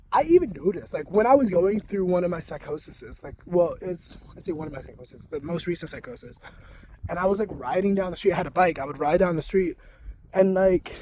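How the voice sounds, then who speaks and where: A young man talking casually to camera in a soft-spoken, rambling way, full of "like". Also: high-pitched, a little hiss or hum. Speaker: spiritual vlogger.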